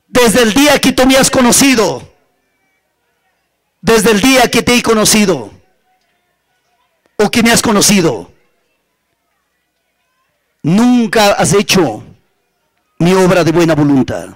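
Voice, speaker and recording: A stern God passing judgment in a sermon story, acted out by a male preacher, in a high voice. He has an Andean Spanish accent. He is solemn and reproachful, piling up accusations in repeated phrases. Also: sing-song, slow pace, long pauses, exaggerated voice.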